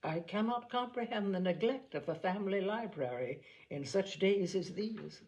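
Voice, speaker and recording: Woman doing a proud, reserved, clipped gentleman. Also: mid-range voice, expressive, moderate pace, close to an everyday voice, poor audio. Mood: dignified. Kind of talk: audiobook character acting.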